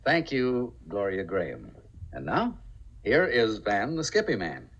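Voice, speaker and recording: A man's voice: on the low side, huge pitch swings, unhurried, lo-fi sound, a little hiss or hum. A man hosting and narrating a radio theater in a formal 1950s broadcast style. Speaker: theater director and host. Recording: vintage radio broadcast, faint music.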